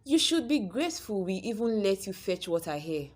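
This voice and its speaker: A woman's voice: medium pitch, very animated, normal speed. A woman voicing a vain, cruel young village beauty in a folktale, with a mocking, haughty manner.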